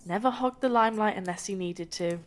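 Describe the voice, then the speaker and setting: A woman's voice: medium pitch, a little fast, lightly acted. A woman acting as an opinionated, gossip-loving ordinary voter in a radio play, chatting expressively. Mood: gossipy, opinionated.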